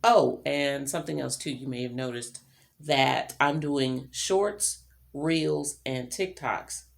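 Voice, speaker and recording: A woman's voice: rumbling low, slow pace, vocal fry. A middle-aged woman vlogger talking in a casual, chatty monologue with informal, rambling asides. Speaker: vlogger and self-employed writer.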